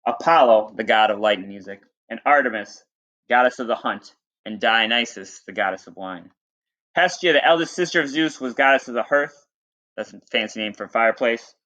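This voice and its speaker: A man's voice: medium pitch, fairly quick, sharp tone. A man reading aloud as a teacher, mixing storybook narration with plain, informal explanatory asides. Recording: dull lo-fi recording.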